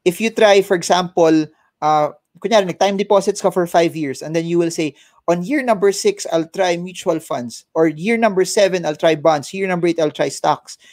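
A man's voice: high-pitched, darkish tone, no room sound, studio quality. Filipino man with a headset mic. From Philippines. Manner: mixes in Tagalog, quick restarts and repeated words. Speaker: financial adviser guest. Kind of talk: casual advice interview. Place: home office with shelves. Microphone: headset mic, video call.

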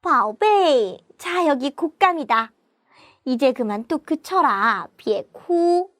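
A woman's voice: sits high, lively intonation, drawn-out words, a bit bright, dry recording, clean studio sound, full character voice. A woman voicing a loving mother who coaxes and warns her baby in a children's story. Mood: coaxing, warning.

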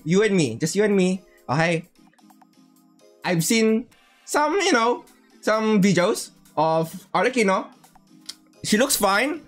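A man chatting casually on a gaming livestream in an excitable, slangy way, often repeating his words. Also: sits high.

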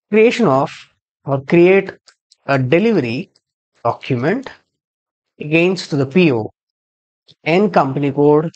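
A man giving a screen-share software tutorial as a trainer, explaining step by step and spelling out codes. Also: fairly high pitch, highs cut off.

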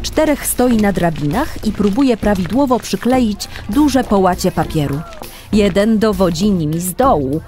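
A woman's voice: medium pitch, expressive, a little slow, warm tone, soft background music. A woman narrating a children's radio play in a scripted storytelling style.

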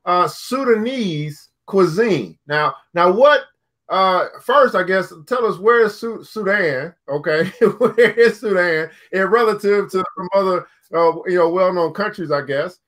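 A man's voice: sits high, expressive, a little slow, fairly bright tone. A man hosting a video call interview, asking long rambling questions with many restarts. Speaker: show host. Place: home room, indoors.

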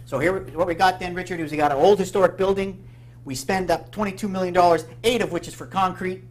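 A man's voice: high voice, steady tone, quick pace. A man hosting a local talk show, skeptical and jokey, often cutting in. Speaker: host.

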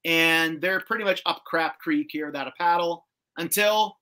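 A man with a bright, forceful voice pitched a bit high hosts a casual rewatch chat. He retells scenes in an animated, slangy way and swears now and then. It sounds like a video call. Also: moderate pace. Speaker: host. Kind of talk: casual chat recapping a cartoon episode. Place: indoor room with shelves of collectibles, on a video call.

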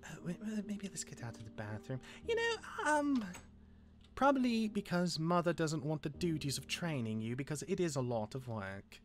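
A man playing a sardonic, awkward immortal demigod older brother, sarcastic and halting, in a fantasy game roleplay. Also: fairly high pitch, wildly swooping pitch. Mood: awkward, kindly. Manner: sarcastic, halting when flustered.

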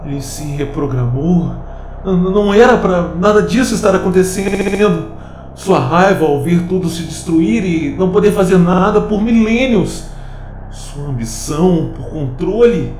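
A man's voice: high-pitched, lively intonation, measured pace, a little mellow. A man voicing a frightened, pleading fragment of an AI in an audio drama. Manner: pleading, shaky. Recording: roomy echo, faint music.

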